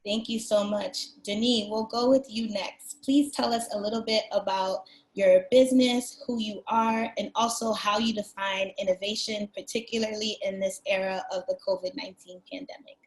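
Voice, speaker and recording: A woman moderating a video-call panel, asking questions politely and at a measured pace. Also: medium pitch, fairly even intonation. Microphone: video call webcam. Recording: echoey room.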